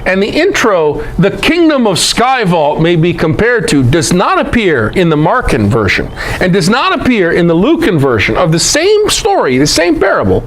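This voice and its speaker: A man's voice: high-pitched, wildly swooping pitch, normal speed, few pauses, slight background noise, low-quality recording. A man giving an informal, irreverent scripture lecture in an emphatic way, often putting rhetorical questions to his listeners. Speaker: Bible teacher, presenter.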